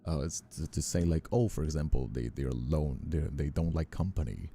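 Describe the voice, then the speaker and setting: A man in a casual livestream chat, explaining things haltingly and repeating words as he goes. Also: extremely low pitch, mellow. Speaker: VTuber streamer.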